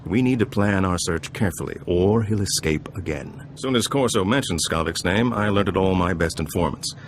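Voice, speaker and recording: A man plays a suave, aging gambler and information broker in a video game. His low voice is smooth and self-satisfied, with theatrical flourishes. There is clear ambient noise behind him. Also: normal speed, lightly acted. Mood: confiding. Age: older. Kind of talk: video game dialogue acting.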